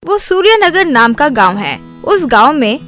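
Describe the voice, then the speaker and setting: A woman's voice: high voice, sing-song, unhurried, a bit bright, soft background music. A woman narrating a scripted comic village story.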